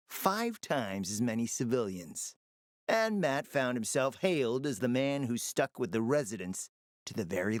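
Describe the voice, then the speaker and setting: Sly smooth-talking spymaster for a game, theatrical and urbane, pitch moves around a lot, dry recording. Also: male voice, dry recording. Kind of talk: video game voice acting.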